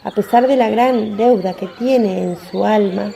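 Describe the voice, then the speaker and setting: A woman's voice: mid-range voice, measured pace, gravelly, warm tone, slight background noise. A woman giving scripted dramatic narration of a religious story.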